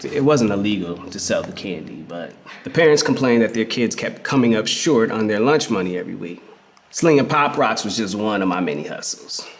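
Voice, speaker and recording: An actor playing a reflective man in a theatrical first-person memoir monologue, telling it conversationally with emphasis and pauses. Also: fairly low pitch, expressive, a little hiss or hum. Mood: fond, earnest. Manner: conversational, emphatic storytelling with pauses and repeated words. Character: reflective queer man fondly recalling his hustling grandmother. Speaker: actor in a dramatic reading of a memoir. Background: music.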